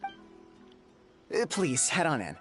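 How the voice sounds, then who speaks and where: A jittery bathhouse owner in a game, constantly apologizing and eager to please. The pitch swings lively with nerves. Faint game music sits behind. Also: very slow, full character voice. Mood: flustered. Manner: apologetic, nervous, overly polite. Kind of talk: game voice acting.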